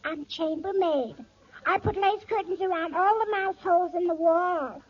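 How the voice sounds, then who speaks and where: A woman's voice: extremely high pitch, normal speed. A woman playing a cheeky, wisecracking three-year-old girl in a 1940s radio comedy. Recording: muffled old radio recording.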